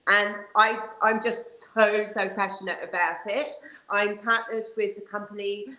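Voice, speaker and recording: A woman's voice: mid-range voice, level tone, a little slow, bright, forceful tone. A woman from Western Australia, a mentor introducing herself on a video call, bubbly and passionate, laughing often. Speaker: guest, marketer and mentor. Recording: echoey room.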